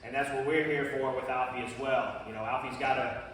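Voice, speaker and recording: A man gives a loose, halting thank-you to a school audience. His voice rings out in a large reverberant hall, picked up from a distance with a faint hiss. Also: mid-range voice, fairly even intonation, fairly bright tone. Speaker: charity biker speaking to students. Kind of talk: casual address to a school. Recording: echoey hall from far off.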